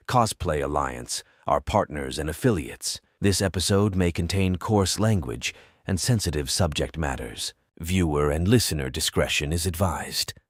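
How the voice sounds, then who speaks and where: A man reading a scripted disclaimer in a measured, formal manner. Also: low voice, no echo, clean studio sound. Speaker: disclaimer announcer.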